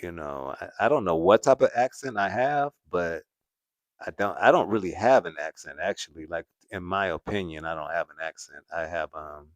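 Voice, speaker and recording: A man's voice: low-pitched, dry recording, clean studio sound. A man hosting a casual livestream, chatting to viewers and laughing often. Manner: laughs often, repeats "at the end of the day". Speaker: host.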